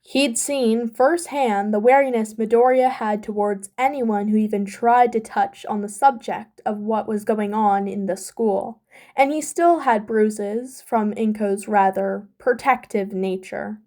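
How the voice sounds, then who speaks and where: A woman's voice: medium pitch, measured pace, darkish tone. A woman reading scripted fan fiction aloud as an amateur audiobook narrator.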